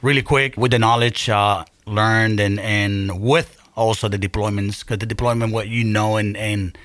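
Low-voiced Puerto Rican veteran, halting. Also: male voice, fairly even intonation, a bit bright, dry recording. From Puerto Rico. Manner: halting, with many restarts, friendly. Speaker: guest, army veteran and business owner. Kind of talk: radio interview.

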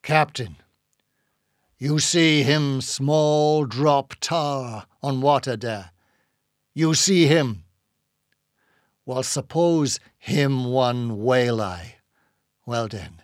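A man's voice: medium pitch, extremely slow, pauses often, no echo, studio quality. Man doing a terse harpooner in broken English. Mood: confident. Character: wild foreign harpooner, terse and confident. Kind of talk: dramatised audiobook reading.

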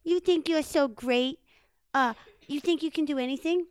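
A woman's voice: sits high, normal speed, voice pushed up, natural voice. A woman voicing a small, nervous chipmunk in a live story, stammering and repeating itself. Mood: cheeky, taunting. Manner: stammering, repetitive pleading.

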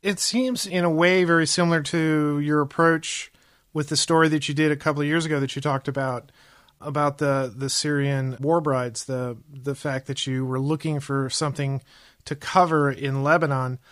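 A man's voice: fairly high pitch, fairly even intonation, normal speed, a bit bright, no echo, clean studio sound. A man hosting a journalism podcast, asking rambling, hesitant questions full of fillers. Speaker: podcast host. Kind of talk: podcast interview.

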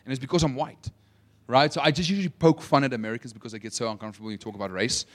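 A young South African man preaching a sermon, telling a story with swings between loud emphasis and quiet asides. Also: mid-range voice, fairly even intonation, racing. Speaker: pastor.

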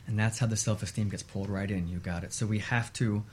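A man speaking as an expert guest in a radio interview, explaining in a measured way with short repeated lists. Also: on the low side, steady tone, a little mellow, slight background noise.

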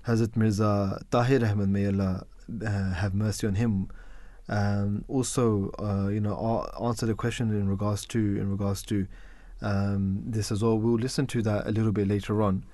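Low-voiced man hosting a radio talk show. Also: little pitch movement, moderate pace, few pauses, mellow, dry recording. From parents from Pakistan. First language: Urdu. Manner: hesitant, many restarts, tag questions. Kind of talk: casual discussion.

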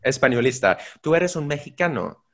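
A man's voice: mid-range voice, big pitch swings, moderate pace, a bit bright. A man co-hosting a casual language-learning podcast, playful, mixing slang and restarting phrases. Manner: playful, uses Spain and Mexico slang, frequent restarts. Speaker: podcast co-host. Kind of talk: casual chat.

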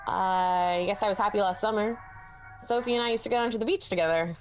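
A woman's voice: mid-range voice, fairly even intonation, a little fast, soft background music, lightly acted, poor audio. A woman with a Canadian accent plays a confused, sarcastic young woman in therapy, deflecting and muttering asides. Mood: wistful. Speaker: therapy patient. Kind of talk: student radio comedy acting.

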